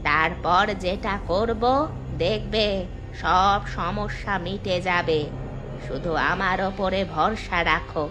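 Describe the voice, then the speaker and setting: A woman's voice: on the low side, fairly even intonation, takes it slowly. A woman voicing a scheming village wife in a cartoon, bossy and impatient with her husband. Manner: impatient, scolding. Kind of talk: dubbed cartoon dialogue. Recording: music in the background.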